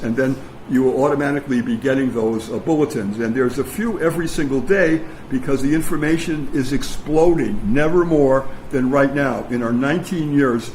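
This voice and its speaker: A man hosting a community meeting and interviewing a guest informally, with a halting delivery and repeated phrases. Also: medium pitch, a little mellow, rough recording. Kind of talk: informal spoken introduction and interview. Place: meeting room with an audience. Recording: live meeting recording with background noise.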